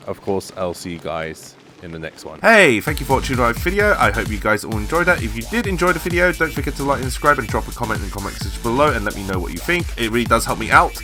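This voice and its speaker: A man with a fairly low voice commentates on a game he is playing. His delivery is casual and offhand, like someone thinking aloud for viewers. Game music is clearly audible behind him. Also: animated, a little fast, hardly any pauses, sharp tone. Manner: offhand reactions, muttering to himself. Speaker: gaming video host. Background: video game music and sounds.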